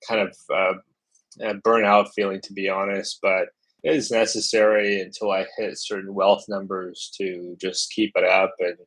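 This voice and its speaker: Man, from the US, lowish pitch, casual stream chat, filler-heavy, meandering. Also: a bit bright, low-quality recording. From United States. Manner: rambling, thinking aloud with many fillers. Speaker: travel vlogger.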